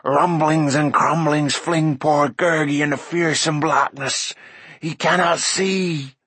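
Wailing, whimpering shaggy creature. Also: male voice, fairly high pitch, fairly even intonation, slow, a bit bright, husky, no room sound, voice pushed up, exaggerated voice. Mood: terrified. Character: shaggy, whimpering, miserable creature speaking of himself in third person.

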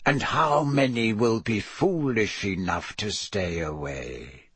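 A man voicing a cruel dark wizard villain for an audiobook, speaking with cold, soft, lazy menace and a faint hiss. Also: fairly low pitch, very animated, breathy edge, no room sound, full character voice. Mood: soft, exultant. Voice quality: cold, hissing softness. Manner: slow, lazy, deliberate menace. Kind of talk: audiobook character voice.

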